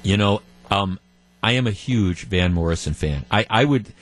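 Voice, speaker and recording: A man's voice: fairly low pitch, lively intonation, moderate pace. A male radio talk show host chatting with call-in listeners, often restarting sentences and chuckling. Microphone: studio microphone.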